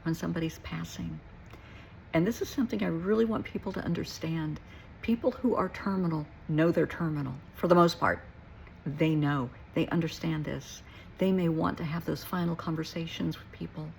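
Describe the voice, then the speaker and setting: Low, creaky-voiced woman speaking earnestly. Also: moderate pace, darkish tone, slight background noise, rough recording. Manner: earnest, emphatic, repeats short phrases for weight. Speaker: therapist and nurse. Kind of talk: reflective personal talk to listeners.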